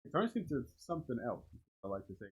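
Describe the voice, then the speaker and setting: A man's voice: mid-range voice, big pitch swings, fast talker, husky. A man acting as guest co-commentator at a game, chatting casually and informally in loose, short phrases on a muffled recording. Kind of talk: casual chat during a game. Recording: dull, muffled live commentary audio.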